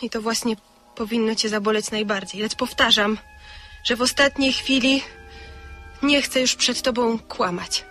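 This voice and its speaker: An actress playing a despairing young woman in a radio play, calm and resigned. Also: a little high, normal speed, soft background music. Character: despairing young woman writing a farewell letter.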